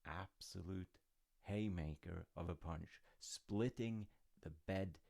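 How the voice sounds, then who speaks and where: A man's voice: sits low, slow, gravelly, a little mellow. A man retelling a fairy tale in a casual, rambling way with informal, wry asides. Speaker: storyteller dad character in audio roleplay.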